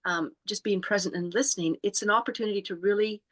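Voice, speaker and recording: A woman co-hosting an online circle who explains the format calmly and without hurry, with many ums. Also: mid-range voice. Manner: calm, unhurried, many ums. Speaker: co-host. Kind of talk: guiding group instructions.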